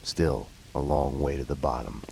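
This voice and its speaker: An actor playing a world-weary, fatalistic detective who narrates his own downfall in a grim, deliberate noir style. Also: flat delivery, vocal fry, noisy background. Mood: brooding, fatalistic. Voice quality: very deep, low-voiced. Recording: loud music behind the voice. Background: loud game soundtrack music.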